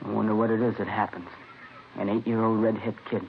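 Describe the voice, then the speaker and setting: Low-voiced, resigned confessed killer. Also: male voice, level tone, brisk, vocal fry. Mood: brooding, wistful. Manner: quiet, musing, fatalistic. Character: resigned, brooding male murderer giving himself up. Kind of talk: 1950s radio drama acting. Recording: dull, noisy old radio recording.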